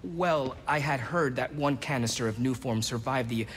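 A nervous male lab technician from a video game, played by a man, stammering and hesitant before his boss. His pitch is average. A long reverb and a faint rumble color the sound. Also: normal speed, close to an everyday voice. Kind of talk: video game cutscene acting.

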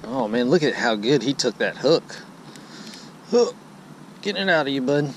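A man's voice: medium pitch, lively intonation, moderate pace. A man with an American accent chatting casually and playfully as he fishes, repeating himself in a folksy way. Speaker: angler filming a fishing vlog. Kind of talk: casual running chatter while fishing. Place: outdoors on a bass boat on a lake. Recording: noisy outdoor boat audio, picks up everything.

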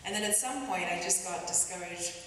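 Kenyan woman, lowish voice, reflective panel talk, roomy distant hall sound. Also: a bit bright, slight background noise. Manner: thoughtful, halting, many pauses and restarts. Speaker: artist panelist. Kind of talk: reflective onstage conversation. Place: stage in a large auditorium. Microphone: stand mic a little away. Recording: echoey hall, distant mic.

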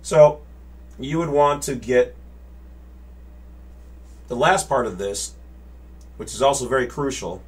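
A man's voice: medium pitch, takes it slowly, pauses often. A man in his forties explaining to a webcam in his home office, gesturing with his hands and checking in with "all right?" and "okay?" after his points. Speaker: channel host. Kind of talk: casual explainer to camera. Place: home office with bookshelves and monitors. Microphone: webcam at desk.

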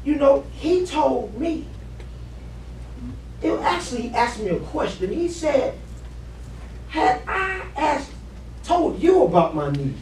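Stage play: male actor as earnest, halting husband, needy, animated delivery, picked up from far off with some noise. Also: very high-pitched, heavily acted. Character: earnest, needy husband opening up to his wife. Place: living-room stage set indoors. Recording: roomy, distant camera mic, background noise.

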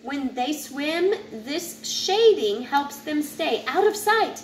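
A woman in her thirties reading a children's picture book aloud in a deliberate, instructive way, now and then stumbling over a word. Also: high voice, big pitch swings. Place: home living area with kitchen, indoors. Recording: noisy home recording, room ambience.

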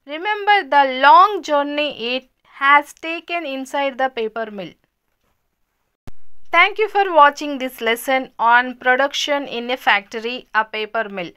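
Female voice-over for a kids' lesson: high, clear, unhurried, reads headings then explains. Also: bright, forceful tone. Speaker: narrator of a school lesson video. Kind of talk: scripted lesson narration.

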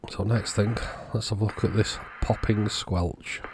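Casual male repair-video host, very low register, husky with plenty of fry. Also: steady tone, unhurried, noisy background. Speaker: host of an electronics repair channel. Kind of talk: casual repair walkthrough narration.

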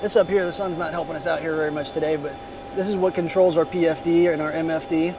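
A man in his thirties with a fairly high voice gives a relaxed, filler-laden tour of a small jet's cockpit. The camera sits at arm's length, and the busy hum of an airfield runs clearly behind him. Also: fast talker. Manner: easygoing, many "uh" fillers. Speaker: corporate pilot vlogger. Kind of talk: casual walkthrough video. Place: small jet cockpit, parked outdoors. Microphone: phone or camera held at arm's length. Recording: noisy airport background.

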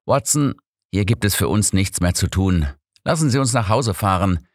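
A man voicing a knowing old male detective, curt, assured and gruff, in an audiobook. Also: lowish voice, expressive, normal speed, no echo, clean studio sound, voice pushed up. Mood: brisk, assured. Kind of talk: audiobook character dialogue.

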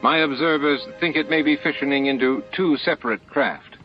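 A man's voice: fairly high pitch, moderate pace, voice pushed up, natural voice. A man voicing a smug, supercilious male alien military commander with falsely courteous manners in an audiobook. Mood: wary. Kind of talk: audiobook character dialogue. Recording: muffled lo-fi audiobook with music.

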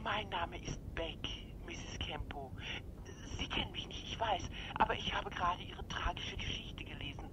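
A woman's voice: fairly low pitch, normal speed, background noise. An actress playing a sentimental woman caller on the telephone who mourns her lost pet and will not hang up. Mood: cloying. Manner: sentimental, cloying, insistent. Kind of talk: radio drama acting.

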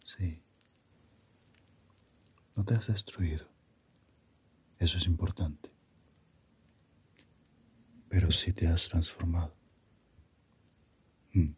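A man voicing Death as a calm, philosophical figure in a slow ASMR roleplay, hushed, breathy and half-whispered, musing gently. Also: low voice, full character voice. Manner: unhurried, musing, asks reflective questions.

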